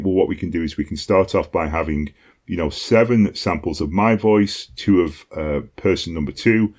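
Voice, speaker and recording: Deep-voiced British man explaining casually. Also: a little mellow. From Britain. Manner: conversational, frequent ums and "okay?" check-ins. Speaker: online course instructor.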